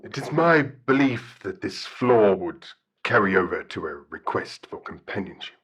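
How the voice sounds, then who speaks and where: An actor playing a solemn, shy, bear-like guardian spirit in an audio drama, speaking formally and hesitantly. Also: male voice, lowish voice, very animated, moderate pace, fairly bright tone, slightly rough, full character voice. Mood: wistful, earnest. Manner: formal, hesitant, articulate speech. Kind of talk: audio drama radio call-in acting.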